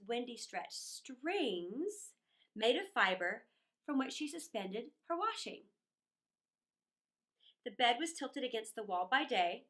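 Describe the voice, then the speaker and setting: A woman reading a children's story aloud in an expressive, playful, theatrical manner with chatty asides. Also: sits high.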